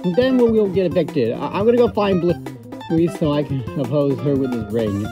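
A girl voicing a broke, scheming male plush character in a home toy video, talking quickly. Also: low voice, animated, music in the background. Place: home bedroom.

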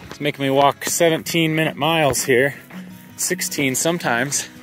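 A man's voice: a little high, fairly even intonation, normal speed, fairly bright tone, soft background music, low-quality recording. A man in his thirties, a hiker, narrating a casual vlog outdoors. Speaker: hiker and vlogger. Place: outdoors in open mountains.

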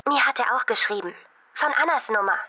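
An actress playing a sharp-witted, bossy teenage schoolgirl in an audio drama, talking in a direct, pointed way. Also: on the high side, animated, fairly quick, slightly rough, faint music behind, exaggerated voice. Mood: eager.

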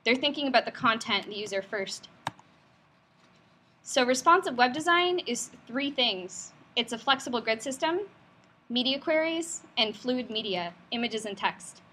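A woman's voice: on the high side, lively intonation, normal speed, bright, forceful tone, slight background noise. A woman presenting at a meetup in an office, talking in a friendly, upbeat, casual way with frequent fillers and asking the audience questions. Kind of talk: conversational tech talk. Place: company office meetup room.

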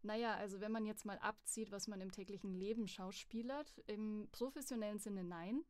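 A woman's voice: mid-range voice, normal speed, darkish tone, no echo. Woman with a dry, close podcast sound. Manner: thoughtful, many hesitation fillers. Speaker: interviewee, voice acting student. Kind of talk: casual conversational interview.

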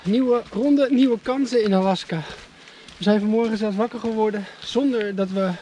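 A man's voice: sits high, normal speed, mellow, a little hiss or hum. A man talking casually to the camera outdoors on a road trip, chatty and hesitant with fillers. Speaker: travel vlogger. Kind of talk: casual travel vlog talk to camera. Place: outdoors by a river.

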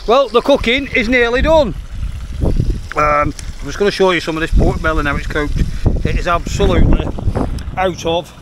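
A man hosts a casual outdoor fishing vlog, chatting to viewers in a jokey, playful, slangy way. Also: fairly high pitch. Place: outdoors on a sea breakwater at night. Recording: noisy outdoor recording on a waterside.

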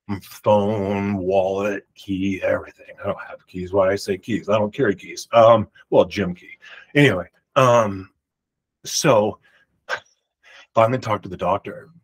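Husky-voiced man telling a rambling story. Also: on the low side. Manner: rambling, emphatic, loud projection. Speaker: vlogger. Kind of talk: personal storytelling to camera. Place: home room with pictures on the walls. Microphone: large studio mic beside him.

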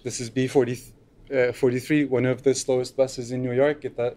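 A man's voice: mid-range voice, flat delivery, fairly quick, warm tone, a little hiss or hum. A middle-aged man from Turkey giving a conference talk at a lectern, loose and unscripted, with frequent fillers. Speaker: conference speaker. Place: lecture room, at a lectern.

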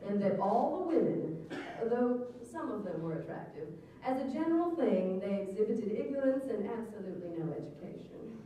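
A woman's voice: mid-range voice, expressive, normal speed, mellow. A woman reading old newspaper articles aloud to an audience in a theatrical, arch storytelling manner. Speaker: reader at a public event. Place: hard-walled room with small stage. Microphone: stand mic, recorded by far camera. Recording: echoey hall, distant mic, some noise.

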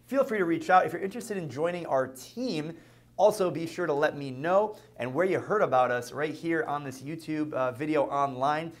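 A man's voice: a little high, normal speed. Upbeat man giving a company address. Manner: upbeat, rousing presenter. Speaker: company CEO. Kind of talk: company address to the team and viewers. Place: studio with dark backdrop. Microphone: lapel mic.

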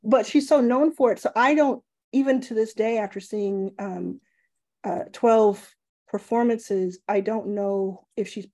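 A woman's voice: medium pitch, measured pace, mellow. A middle-aged woman with a Texan accent hosting a casual video call interview, curious and enthusiastic. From Texas. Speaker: interview host. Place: home room indoors. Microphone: webcam, video call.

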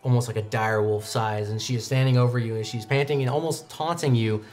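Young male game master, expressive dramatic storyteller, music playing underneath. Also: mid-range voice, fairly quick. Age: young adult. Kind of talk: dramatic tabletop roleplaying narration. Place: indoor studio set.

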